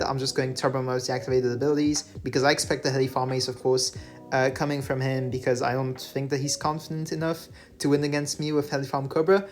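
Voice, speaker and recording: A man whose first language is French, talking through his game replays in a relaxed voice-over full of fillers and self-corrections. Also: mid-range voice. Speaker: gaming channel host. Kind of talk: gameplay commentary voice-over. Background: faint background music.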